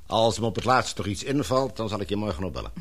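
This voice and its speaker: A man playing an older English knighted father in a radio drama, authoritative, measured and formal, gloomy yet affectionate. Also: fairly low pitch, very animated, a bit bright, heavily acted. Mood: affectionate, businesslike.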